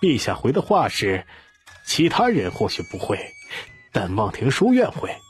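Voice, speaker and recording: A man voicing a pensive, easily exasperated elder-brother prince in an audiobook, reasoning deliberately. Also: medium pitch, huge pitch swings, faint music behind. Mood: musing.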